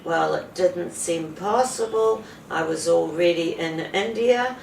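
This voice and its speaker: An older woman in her seventies, from New Zealand, tells her story to a camera in a sitting room, in a lowish voice. She talks slowly and carefully, with pauses and small fillers. The sound is picked up from a distance, with room echo and a steady hiss behind her. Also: creaky. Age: 70s. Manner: slow, measured, with pauses and fillers. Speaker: patient giving a testimonial. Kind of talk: unscripted testimonial to camera. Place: indoor room with a sofa. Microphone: camera mic from a few feet away. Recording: roomy, distant camera audio with background hiss.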